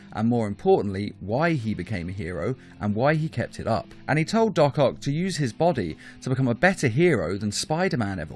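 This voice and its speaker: A man narrates a scripted top-five video as its host. His pitch is middling and swings widely as he explains and stresses his points. Soft plucked guitar music plays behind him.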